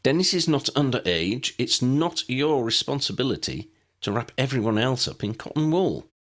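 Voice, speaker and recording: A man voicing a spirited, forthright young woman reporter in an audiobook reading, with a brisk manner. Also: mid-range voice, very animated, voice pushed up, lightly acted.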